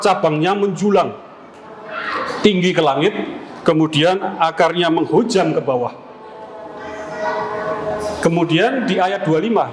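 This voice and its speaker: A man preaching a sermon to a congregation in a deliberate, didactic way, phrase by phrase. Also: high-pitched. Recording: echoey room with loud background noise.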